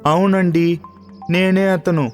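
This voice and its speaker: An actor playing a humble, clever farmer who explains respectfully and patiently in a children's cartoon story. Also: male voice, high-pitched, fairly even intonation, slow pace, darkish tone, big-room sound. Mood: deferential. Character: humble, clever male farmer in a fairy tale. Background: background music.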